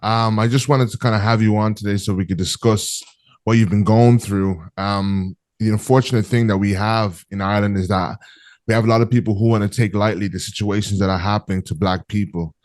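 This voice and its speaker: A man hosting a call-in interview show, talking in an earnest, measured way with frequent fillers. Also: fairly low pitch, steady tone, darkish tone, dry recording. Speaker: host.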